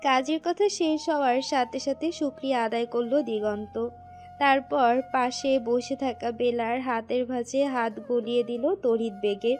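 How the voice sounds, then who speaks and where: A woman narrating a scripted romantic story in an expressive, literary storytelling manner. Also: a little high, normal speed. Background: music.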